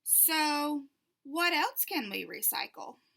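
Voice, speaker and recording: A woman's voice: high-pitched, very animated, slow pace, vocal fry, noisy background, voice pushed up, full character voice. A woman voicing a patient male schoolteacher in a children's storybook, explaining things in an emphatic, instructive way.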